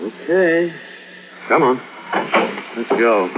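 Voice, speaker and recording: A man's voice: medium pitch, animated, extremely slow. An American man playing a tough, commanding police detective in a 1950s radio crime drama, clipped, brusque and authoritative. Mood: tense, commanding. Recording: tinny, noisy old radio recording.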